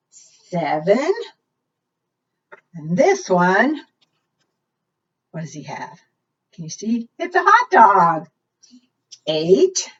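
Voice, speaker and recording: A woman's voice: medium pitch, slightly rough. A woman hosting a preschool storytime, reading aloud in a sing-song way and putting questions to her young listeners. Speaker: children's librarian and storytime host. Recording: dull, lo-fi sound, distant mic.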